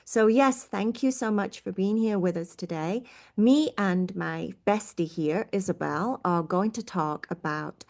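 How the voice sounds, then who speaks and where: A woman's voice: medium pitch, unhurried, vocal fry, darkish tone. Bubbly woman podcast co-host. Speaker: podcast co-host, spiritual teacher. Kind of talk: casual podcast chat.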